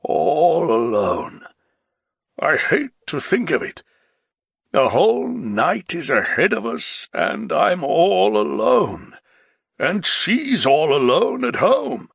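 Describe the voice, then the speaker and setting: A man voicing a moody, blustering middle-aged nobleman who draws out his words and sometimes shouts. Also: sits high, huge pitch swings, gravelly, slightly rough, dry recording, clean studio sound, pitched above the performer's own voice, full character voice. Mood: mournful. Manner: loud, drawn-out, exclamatory delivery, sometimes shouting.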